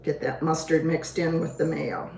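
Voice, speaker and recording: A woman hosting a home cooking show, a little hoarse, chatting in a homey way as she works through a recipe. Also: low voice, moderate pace, darkish tone, a little hiss or hum. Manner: chatty, homey, talks while working. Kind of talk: casual cooking demonstration. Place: home kitchen. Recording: echoey kitchen with clattering dishes. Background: dishes and utensils clinking.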